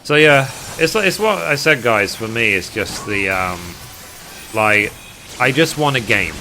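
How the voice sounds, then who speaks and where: A man's voice: medium pitch, expressive, measured pace, pushed and bright, a little hiss or hum. A man with a British accent chatting casually and at rambling length with his live stream viewers as a gaming streamer. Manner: rambling, reading chat aloud and replying. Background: music.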